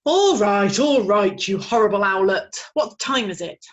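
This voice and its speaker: A woman voicing a grumpy, sleepy father barn owl with a gruff, blustering manner. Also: medium pitch, animated, unhurried, lo-fi sound.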